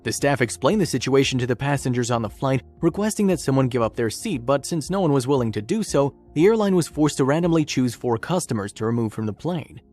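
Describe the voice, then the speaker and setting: A man's voice: medium pitch, animated, fast, few pauses, a little mellow. Male story narrator over music. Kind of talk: scripted documentary-style story narration. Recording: music bed under narration. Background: background music.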